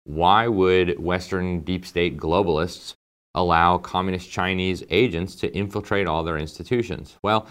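Low-voiced guy ranting as host, lots of uh and um, pushy but chatty. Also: fairly even intonation, measured pace, a bit bright, clear, resonant tone. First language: English. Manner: emphatic, conversational, with frequent fillers and asides. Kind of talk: political commentary monologue.

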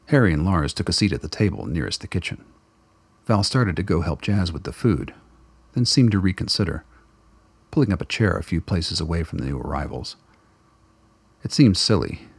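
A man narrating a radio play in a measured, descriptive storytelling manner. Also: sits low, animated, vocal fry, slightly rough, dry recording. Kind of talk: scripted narration.

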